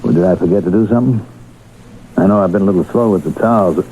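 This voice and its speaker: An anxious, deferential ex-convict working as a handyman in an old radio drama. His voice is low and very mellow, earnest and defensive. The broadcast has a faint layer of noise. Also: fast talker, poor audio. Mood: meek, apologetic. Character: anxious, humble ex-convict handyman at health club. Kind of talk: radio drama acting with first-person narration.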